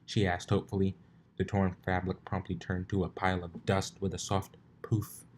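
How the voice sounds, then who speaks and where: A man's voice: low voice, flat delivery, moderate pace, a little hiss or hum. A man narrating a scripted story as an amateur reader, informal and given to wry asides. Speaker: amateur fan fiction reader.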